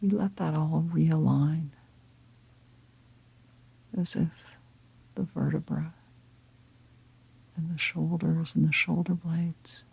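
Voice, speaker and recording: A woman leading a guided meditation in a calm, gentle, breathy half-whisper, unhurried and with long pauses. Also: low-pitched, rough recording. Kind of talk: guided meditation, slow soft-spoken instruction.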